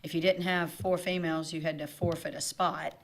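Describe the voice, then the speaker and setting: A woman, a chatty, loud-voiced guest on a casual morning TV show. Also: deep voice, level tone, brisk.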